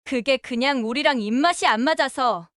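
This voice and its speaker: A woman acting as a defensive wife in a family audio drama. Her voice is quite high, she offers evasive excuses, and the sound is very dry. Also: normal speed, fairly bright tone, no echo. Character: defensive daughter-in-law making excuses to her husband. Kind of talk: dramatized family story dialogue.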